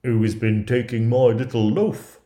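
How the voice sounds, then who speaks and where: A man's voice: mid-range voice, fairly even intonation, normal speed, dark tone, natural voice. A man voicing small, fussy, kindly dwarves in a fairy tale, with an exclamatory, emphatic delivery. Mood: indignant, puzzled. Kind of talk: fairy tale character voices. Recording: roomy echoing recording.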